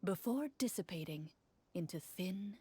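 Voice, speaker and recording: An actress playing a female game master, narrating a fantasy adventure in a measured, theatrical style. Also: on the low side, lively intonation, warm tone, breathy edge. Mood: grave, suspenseful. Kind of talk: dramatic scripted narration.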